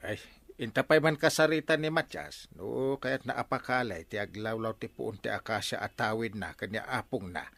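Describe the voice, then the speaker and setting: A man with an Ilocano accent playing an excitable, scheming village captain in a Filipino comedy radio drama. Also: fairly low pitch, normal speed, vocal fry. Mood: scheming, eager. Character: excitable scheming male village captain chasing buried riches.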